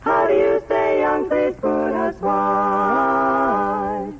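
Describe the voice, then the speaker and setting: Women singing as a group of adoring fans in a swooping close-harmony novelty song on 1950s radio. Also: female voice, mid-range voice, wildly swooping pitch, extremely slow, loud music behind the voice, full character voice. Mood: gushing. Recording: dull old radio broadcast with band.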